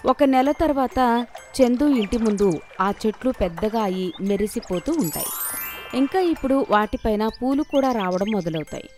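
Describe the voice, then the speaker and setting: A woman's voice: a little high, lively intonation, moderate pace, a little mellow, faint music behind. A woman storyteller reading a scripted children's moral tale.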